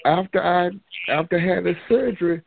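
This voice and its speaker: Male caller, rambling storyteller, slightly high voice, tinny phone line. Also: brisk, vocal fry. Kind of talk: casual phone chat.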